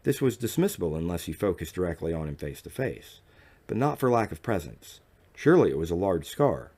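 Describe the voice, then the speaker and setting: A man reading a first-person literary story as a narrator, in a formal, measured manner with deliberate phrasing and dramatic pauses. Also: low voice, darkish tone. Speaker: audiobook narrator.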